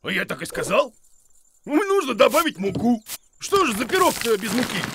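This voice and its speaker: A man's voice: very high voice, very animated, moderate pace, noisy background, heavily acted. A man voicing a silly, boastful hairy creature in a children's cartoon, pompous and given to exclaiming and muttering. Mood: boastful, excitable. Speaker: main character of children's cartoon. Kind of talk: animated cartoon acting.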